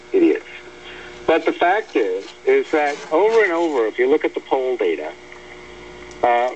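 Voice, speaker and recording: An American man giving blunt, emphatic, argumentative political commentary as a guest on a talk radio show. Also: medium pitch. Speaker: guest commentator discussing an article they wrote. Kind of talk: opinionated political radio discussion. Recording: dull lo-fi radio audio, faint hum.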